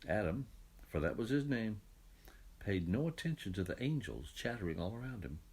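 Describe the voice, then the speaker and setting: Husky, expressive male storybook narrator. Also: fairly low pitch, moderate pace. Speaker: audiobook reader. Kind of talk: scripted children's story narration.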